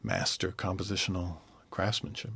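A man, a concert pianist, answering interview questions thoughtfully and pausing often to find his words. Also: deep voice, big pitch swings, vocal fry, sharp tone, husky, no echo. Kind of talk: relaxed radio interview.

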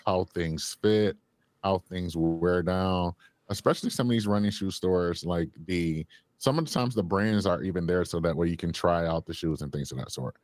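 A man with a very low, deep voice speaks as a radio guest into a close studio mic. He talks with enthusiasm and laughs easily. The sound is dry, with no room echo. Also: darkish tone. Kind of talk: radio interview.

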